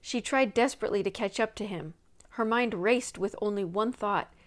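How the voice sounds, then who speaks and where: A woman's voice: medium pitch, normal speed. A woman narrating a children's mystery novel as a scripted read-aloud. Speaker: audiobook reader.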